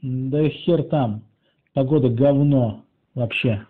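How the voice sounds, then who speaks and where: A man's voice: medium pitch, slow. Sarcastic man on a muffled call. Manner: sarcastic, teasing, drawling. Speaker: channel author pranking a phone scammer. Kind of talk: casual mocking phone chat.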